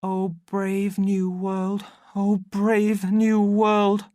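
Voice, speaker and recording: A reader playing an impassioned, naive young male outsider in an audiobook, declaiming in a loud, ringing way. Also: mid-range voice, completely flat delivery, very slow, no echo, studio quality, pitched above the performer's own voice. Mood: fervent wonder.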